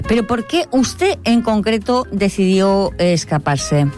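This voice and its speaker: A woman's voice: mid-range voice, very animated, unhurried, a little mellow, soft background music. Playful woman doing a mock news report. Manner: playful, hesitant, many fillers.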